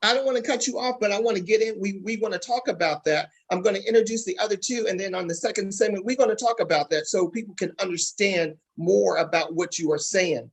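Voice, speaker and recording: A man's voice: high-pitched, quick pace, gravelly, hardly any pauses, husky. A man hosting a casual panel interview, often chiming in with affirming words. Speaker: host interviewing stage play cast.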